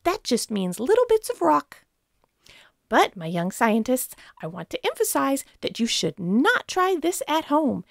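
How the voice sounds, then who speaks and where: Sitcom role: woman playing oddball female science teacher, extremely high voice, slow theatrical phrasing. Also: wildly swooping pitch, gravelly, no room sound, studio quality, full character voice. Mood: cheery, showy. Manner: theatrical, exaggerated, careful teacherly delivery. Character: eccentric, reckless, cheery female science teacher. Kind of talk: sitcom acting.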